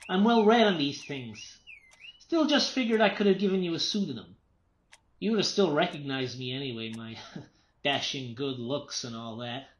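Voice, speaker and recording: An actor in a radio play playing a tense, unravelling writer and father, agitated, irritable and repeating himself haltingly. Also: a little high, natural voice. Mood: uneasy, defensive.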